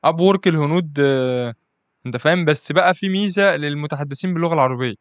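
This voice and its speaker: A man's voice: a little high, fast, clean tone, no room sound, dull audio. A man with an Egyptian accent hosting a casual podcast interview, chatty and constantly asking "you understand?". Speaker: podcast host.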